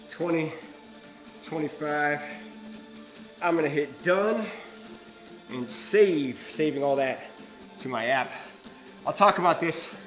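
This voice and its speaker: A man's voice: fairly high pitch, low-quality recording. A male bodybuilder vlogging to his viewers in casual chat, rambling and hesitant, with lots of fillers and restarts.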